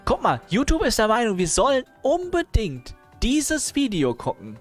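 Impatient, lively-voiced male streamer. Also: very high-pitched, moderate pace, soft background music. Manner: impatient, sarcastic, chuckling asides. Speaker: streamer reacting to videos. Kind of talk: casual reaction commentary.

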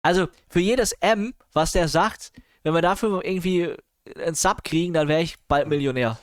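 A man's voice: fairly high pitch, normal speed, clean tone, dry recording, studio quality. A man streaming casual reaction commentary on videos, impatient and sarcastic, with chuckling asides.

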